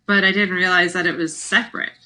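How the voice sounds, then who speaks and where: Bright-voiced woman chatting about books. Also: on the low side, flat delivery, quick pace. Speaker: podcast co-host. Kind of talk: casual book discussion.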